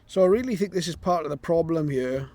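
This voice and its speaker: A man's voice: a little high, fast talker, dark tone. A man giving an informal, matter-of-fact product demonstration, explaining things as he goes. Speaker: presenter of a radio receiver review.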